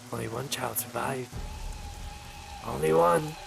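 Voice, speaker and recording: A man voicing a mournful, lonely villager in a fantasy game, creaky and bleak, speaking in terse phrases. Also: mid-range voice, unhurried. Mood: bleak, bitter. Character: mournful, lonely villager haunted by lost children. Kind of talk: acted fantasy game dialogue.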